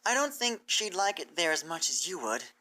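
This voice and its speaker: A performer voicing a gentle, earnest female unicorn mentor pony in a cartoon fan fiction reading, encouraging and measured. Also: medium pitch, sing-song, pitched above the performer's own voice.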